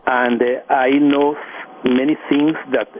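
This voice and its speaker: A man from El Salvador, a caller speaking in an earnest, halting way with many restarts. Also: mid-range voice, little pitch movement. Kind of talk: call-in radio comment. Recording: phone line with faint noise.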